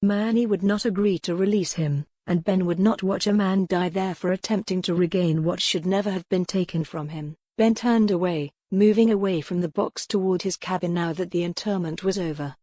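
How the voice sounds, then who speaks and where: A woman's voice: lowish voice, moderate pace, few pauses, dark tone, no room sound, clean studio sound. A woman reading historical fiction as a scripted, dramatic audiobook narration.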